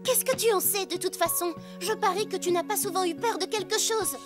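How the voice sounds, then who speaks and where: A woman's voice: very high-pitched, fairly quick, music in the background. A woman playing a stubborn, sarcastic young girl in a French cartoon dub, blunt and pouty. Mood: sarcastic, defensive.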